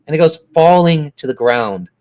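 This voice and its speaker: A man acting as game master, narrating and refereeing a tabletop game in a suspenseful, drawn-out way. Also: fairly high pitch, lively intonation, a little mellow.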